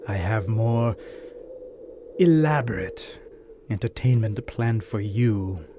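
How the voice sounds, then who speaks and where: Slow, gloating desert bandit chief. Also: male voice, lowish voice, background noise. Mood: gloating menace. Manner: measured, florid, formal, mocking. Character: gloating bandit chief, scarred former slave trader, coldly courteous villain. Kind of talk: audio drama acting.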